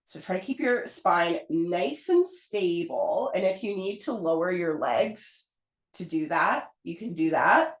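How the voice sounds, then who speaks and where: A pilates instructor who is getting over a cold and sounds hoarse, calmly talking a class through each move in an encouraging way. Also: female voice, fairly low pitch, measured pace. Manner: calm, encouraging step-by-step cueing. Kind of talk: guided pilates class cues. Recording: roomy echo.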